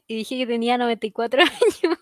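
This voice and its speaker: A woman's voice: fairly high pitch, expressive, fast talker, no echo, clean studio sound. A woman in her twenties with a Chilean accent, chatting casually in a slangy, self-deprecating way as a podcast co-host. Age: 20s. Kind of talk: casual chat.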